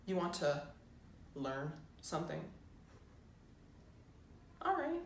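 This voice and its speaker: A woman performing a comedic monologue as a jaded, scornful rocker posing as a substitute teacher, loud and emphatic. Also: sits low, wildly swooping pitch, slow, exaggerated voice. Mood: scornful, exasperated. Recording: roomy echo, faint background noise.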